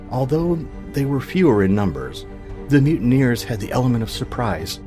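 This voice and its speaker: A man narrating a scripted fiction podcast in a measured, grave, dramatic storytelling manner. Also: on the low side, dark tone.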